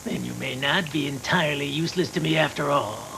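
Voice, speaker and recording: Noisy old tape, cold scheming anime villain, domineering, heavy vocal fry, fairly high pitch. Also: male voice, a little fast, sharp tone. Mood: smug menace. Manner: smug, commanding. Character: scheming, cold crime boss, smug and domineering. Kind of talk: English anime dub acting. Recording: noisy worn VHS tape with hum.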